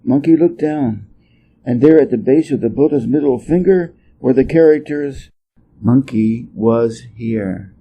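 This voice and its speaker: A man's voice: on the high side, moderate pace, gravelly, warm tone, slight background noise. A man reading a story aloud as a scripted narration. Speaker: story reader.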